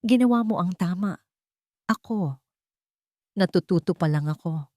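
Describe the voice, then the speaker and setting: A woman as protective grown man, low voice, calm, firm, earnest, audio love story, dry close sound. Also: a little slow, no echo. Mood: serious, sincere. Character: calm, firm former playboy man turned protective guardian.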